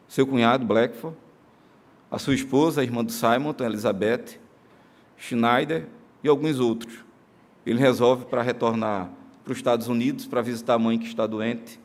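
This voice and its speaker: Earnest Brazilian preacher man. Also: mid-range voice. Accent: Brazilian Portuguese. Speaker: pastor. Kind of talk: sermon. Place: church sanctuary during a live-streamed service.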